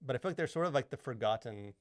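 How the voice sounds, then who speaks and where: A man's voice: mid-range voice, expressive, rapid-fire, dry recording, studio quality. A man co-hosting a podcast, chatting casually and rambling with lots of fillers. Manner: rambling, many fillers like "like" and "you know". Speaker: podcast co-host. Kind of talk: casual chat.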